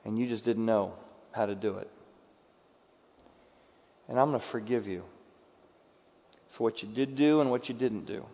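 A man preaching a sermon with dramatic delivery, acting out quoted voices and moving from loud bursts to hushed, quiet lines. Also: on the low side, moderate pace, pauses often. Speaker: pastor. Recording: dull sound with long hall reverb.

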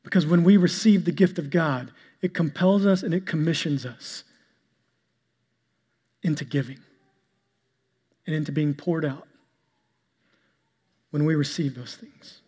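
A male pastor preaching a sermon to his congregation, with an even delivery he describes as monotonous. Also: fairly high pitch, breathy edge. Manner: calls himself monotonous and boring-voiced; preaching, shifts to a soft, slow prayer. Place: church sanctuary. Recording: long hall reverb with soft instrumental music.

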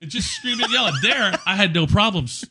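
A man who works as a DJ, chatting casually and telling stories in an animated way, acting out exchanges. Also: high voice, brisk, noisy background. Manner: animated storyteller, acts out exchanges. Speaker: event DJ. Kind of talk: casual anecdotal chat.

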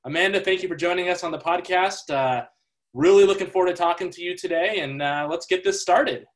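A man's voice: sits high, brisk. A man hosting a podcast interview, friendly and loose, with frequent fillers. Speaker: podcast host.

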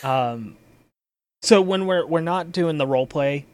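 A man hosting a casual chat about a tabletop game as its storyteller, speaking in a halting, informal way and swearing casually. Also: a little high, clear, resonant tone, no room sound.

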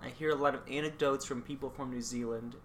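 A young man co-hosting a podcast in casual chat, often pausing with ums and restarting his sentences. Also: mid-range voice, steady tone, a little hiss or hum. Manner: hesitant, many ums and restarts. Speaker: podcast co-host.

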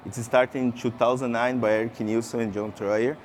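A man's voice: medium pitch, flat delivery, slight background noise. A man from Brazil with a Brazilian accent, giving a conference talk. He talks with lots of fillers and restarts and asks the audience questions. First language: Portuguese. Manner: halting, many fillers and restarts. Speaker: conference speaker. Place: conference session room.

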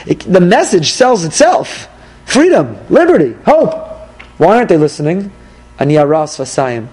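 A man's voice: on the high side, big pitch swings, normal speed, darkish tone, breathy edge, rough recording. A man voicing Moses as a dejected leader doubting his own speaking, self-pitying and exasperated.

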